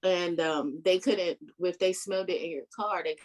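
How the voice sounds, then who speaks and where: A woman's voice: fairly low pitch, normal speed. A woman co-hosting a podcast, giving frank, blunt opinions in casual chat. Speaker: podcast co-host.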